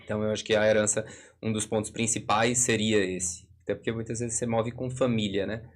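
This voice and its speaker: A man with a Brazilian accent and a fairly low voice. He speaks as an expert in a live discussion, explaining step by step with hypothetical examples. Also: flat delivery, a little fast. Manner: didactic, works through hypothetical examples.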